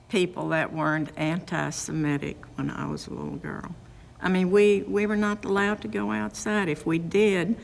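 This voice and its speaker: A woman's voice: lowish voice, creaky, long reverb tail. An older woman giving earnest, emphatic interview testimony about her childhood. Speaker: Holocaust survivor interviewee.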